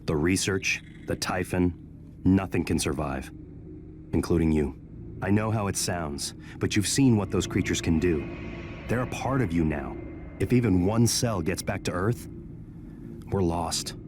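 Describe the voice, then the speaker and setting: A resolute man in a video game, speaking very low over clear background noise. His delivery is measured and blunt, with the gravity of a serious warning. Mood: grave, regretful. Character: grave, resolute man leaving a warning message.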